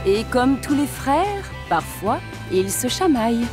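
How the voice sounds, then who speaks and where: A woman narrating a cartoon story in an expressive, scripted way. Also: high-pitched, slow pace. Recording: cartoon narration with music behind.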